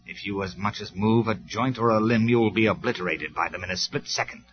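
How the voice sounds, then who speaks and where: A man voicing a calm male robot leader who speaks with quiet, measured authority in a storybook reading. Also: lowish voice, lightly acted. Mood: quietly menacing. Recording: slightly dull lo-fi recording with faint music.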